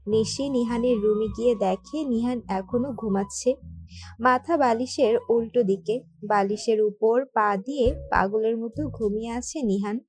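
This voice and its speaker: A woman's voice: fairly high pitch, measured pace, darkish tone, husky, dry recording. A woman reading scripted narration for a romantic story. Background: background music.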